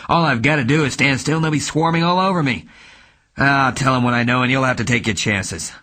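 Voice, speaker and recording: A man reading an audiobook, voicing an impatient private detective who speaks curtly and irritably, loud with anger. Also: medium pitch, fast, husky. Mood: angry. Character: impatient hard-boiled male private detective.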